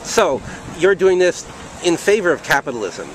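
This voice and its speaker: A man interviewing protesters on the street, asking brief, calm questions. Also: a little high, normal speed, low-quality recording. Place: outdoors on a city plaza by traffic. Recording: outdoor street noise.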